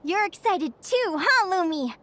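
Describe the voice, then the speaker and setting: Casting: eager anime game heroine, young girl, very high and lively pitch, earnest and expressive. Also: a little slow, a little hiss or hum, exaggerated voice. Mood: dreamy, excited. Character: cheerful, eager young girl adventurer with companion creature. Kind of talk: anime video game voice acting.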